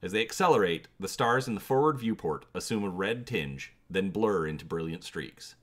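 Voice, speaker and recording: A man's voice: sits low, animated, normal speed. A man hosting his channel, giving a dramatic reading of an adventure gamebook and inviting viewers to comment on choices.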